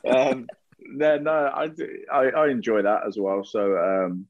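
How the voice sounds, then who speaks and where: A man's voice: lowish voice, lively intonation, slow pace. A man in his thirties giving frank, easygoing interview answers and laughing easily. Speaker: retired footballer guest. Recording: dry, dull sound.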